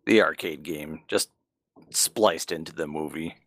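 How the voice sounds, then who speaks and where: Very deep-voiced, blunt man. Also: unhurried, no echo, studio quality. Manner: blunt, scornful. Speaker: podcast co-host. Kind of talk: casual chat.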